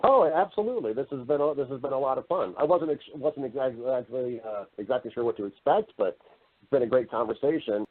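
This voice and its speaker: A man's voice: medium pitch, a little fast, breathy edge. A man speaking casually and affably as an interview guest, explaining things in a conversational way. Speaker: podcast guest, voice-over artist and narrator. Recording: muffled band-limited internet radio call.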